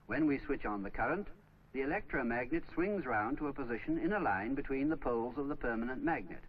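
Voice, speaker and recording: A man's voice: medium pitch, animated, a little fast. Man narrating a dull, noisy 1950s educational film. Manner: didactic, explains step by step.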